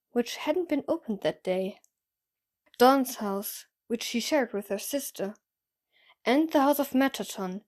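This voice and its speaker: A woman's voice: a little high, a little mellow. A woman narrator reading scripted story narration for an audio drama.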